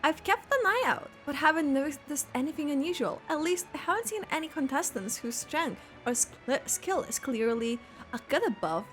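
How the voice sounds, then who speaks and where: A woman playing a strict, earnest coach in an anime game, brisk, formal and instructing. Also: sits high, expressive, a bit bright, soft background music. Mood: earnest, matter-of-fact. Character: strict, earnest coach and tournament course designer.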